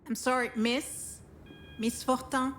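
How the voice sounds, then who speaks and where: An actress playing a composed, serious hospital doctor in a video game, speaking in a formal, measured, insistent way. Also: female voice, sits high, flat delivery, fairly bright tone, a little hiss or hum. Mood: gently urgent. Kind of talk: acted game dialogue.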